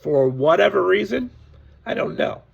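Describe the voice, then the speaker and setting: Hoarse, gravelly man vlogging. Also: high-pitched, very animated, moderate pace, highs cut off. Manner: candid, complaining, warning viewers. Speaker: sports card seller. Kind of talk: casual direct-to-camera vlog.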